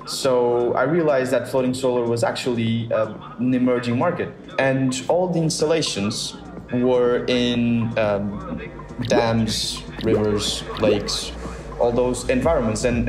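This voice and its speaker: Thoughtful young man from Portugal. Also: medium pitch. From south of Portugal. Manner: thoughtful, hesitant, many restarts and fillers. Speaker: startup founder, guest. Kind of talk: reflective interview answers. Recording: roomy sound with music bed.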